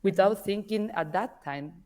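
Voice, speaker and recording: A woman's voice: medium pitch, normal speed, a little mellow. A woman from Argentina, whose first language is Spanish, speaking hesitantly as a podcast guest. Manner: hesitant, searching for words in English. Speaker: podcast guest, artist manager. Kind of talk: conversational interview.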